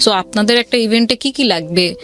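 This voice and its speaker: A woman's voice: mid-range voice, a little fast. A woman with a Bangladeshi accent earnestly telling her life story as an interview guest, mixing in English words. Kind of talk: personal storytelling in a radio interview. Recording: music bed under the voice. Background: background music.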